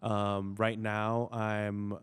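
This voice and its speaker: A man's voice: fairly low pitch, monotone, drawn-out words, clear, resonant tone. A man whose first language is Spanish, an actor chatting easily as a podcast guest with many "you know" fillers. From United States. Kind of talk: casual interview chat.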